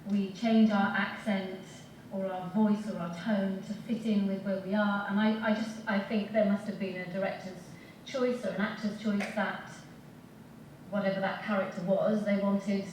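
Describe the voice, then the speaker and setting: A woman's voice: mid-range voice, level tone, moderate pace, a little mellow. A woman panelist offering tentative, reflective comments. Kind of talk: panel discussion. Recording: echoey hall from far off, faint noise.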